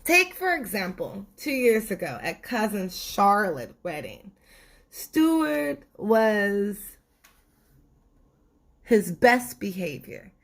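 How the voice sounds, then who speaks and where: A woman narrating a children's storybook expressively, with deliberate, dramatic phrasing. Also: medium pitch, sing-song. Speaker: storybook reader.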